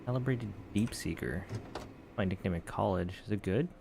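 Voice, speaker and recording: A man's voice: on the low side, moderate pace, creaky. A man giving casual, joking commentary while playing a video game, often muttering to himself. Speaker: gameplay streamer.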